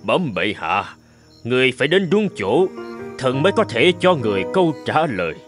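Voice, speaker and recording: A man voicing a wise, humble old male court minister who speaks slowly and deferentially. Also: mid-range voice, big pitch swings, over music, lightly acted. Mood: measured. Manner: soft, deferential, slow and measured. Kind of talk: cartoon voice acting.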